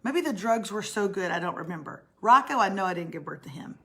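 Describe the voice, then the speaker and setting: A middle-aged woman with a raspy voice, co-hosting a casual morning chat and clearing her throat often. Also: mid-range voice, expressive, brisk, bright, forceful tone. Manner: chatty, self-mocking, frequent throat clearing. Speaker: co-host.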